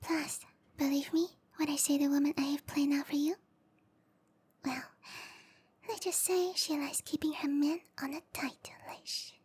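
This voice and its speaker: High, whispering seductive matchmaker played by a woman. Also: fairly even intonation, measured pace, a little mellow. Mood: teasing, informative. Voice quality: breathy whisper. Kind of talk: whispered ASMR roleplay.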